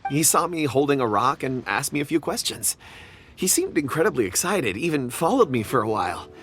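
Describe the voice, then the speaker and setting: A man voicing an affable, courteous and enthusiastic gentleman rock expert in a video game, eloquent and slightly theatrical. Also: mid-range voice, big pitch swings, a little fast. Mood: musing, eloquent. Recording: music behind the voice.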